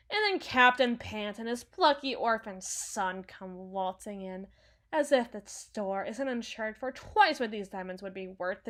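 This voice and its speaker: A woman playing a brash, sarcastic, protective villain's henchwoman and field medic in an intimate, dramatic audio roleplay. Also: a little high. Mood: irritated, livid. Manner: sardonic, dramatic, swings from hushed muttering to outbursts.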